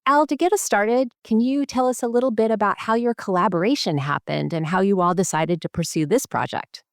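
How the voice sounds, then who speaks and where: A woman's voice: mid-range voice, brisk, dry recording, clean studio sound. A woman hosting a medical podcast interview, introducing guests and putting questions to them. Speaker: podcast host, medical journal editor.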